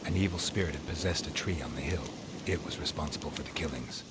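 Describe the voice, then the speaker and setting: An actor playing a stoic, gruff monster hunter in a video game, terse and deadpan, with a gravelly voice. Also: male voice, a bass voice, fast talker, heavy background noise. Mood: matter-of-fact. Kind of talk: video game cutscene acting.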